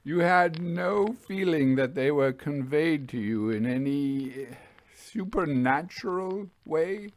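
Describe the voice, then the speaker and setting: A man playing a troubled, deferential railway signalman in a ghost story, grave and halting, in a low, hushed voice. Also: expressive, no room sound. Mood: insistent. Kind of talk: dramatised audiobook ghost story.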